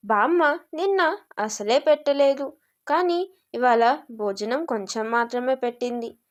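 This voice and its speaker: Female narrator for kids' moral tales, very high voice, animated intonation, unhurried pace. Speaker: narrator of children's moral stories. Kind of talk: scripted storybook narration.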